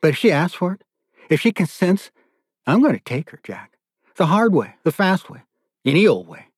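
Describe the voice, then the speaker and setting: A man's voice: high voice, sing-song, moderate pace, husky, no room sound, voice pushed up, exaggerated voice. A man performs a menacing male captor in a dramatic audiobook. He speaks in a raised, smug voice, giving orders with a sickly show of sympathy and possessiveness. The sound is close and dry. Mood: gloating menace. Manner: commanding, mock-sympathetic. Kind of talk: audiobook character dialogue.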